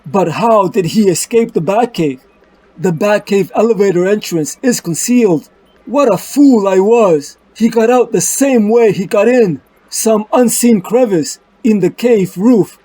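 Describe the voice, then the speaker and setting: A man's voice: high-pitched, expressive, a little slow, dark tone, rough recording. A man voicing Batman as a grave, driven detective hero in a solemn, melodramatic comic-book dub. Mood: brooding. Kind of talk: dramatic comic-book dub with inner monologue. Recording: music bed under the voice.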